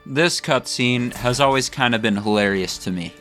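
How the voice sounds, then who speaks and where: A man's voice: medium pitch, normal speed, over loud music. A man hosting a scripted comedic video essay, speaking in a mock-grandiose, tongue-in-cheek way.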